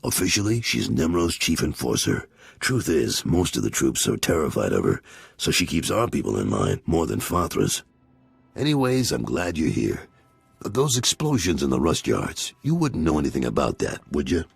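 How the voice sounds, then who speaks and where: A middle-aged gang boss in a video game, fatherly and approving. His voice is rock-bottom low and heavily gravelled, with a pitch that moves around expressively. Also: huge pitch swings, normal speed, no echo, soft background music, full character voice. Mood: wry, probing. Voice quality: gravelly, raspy.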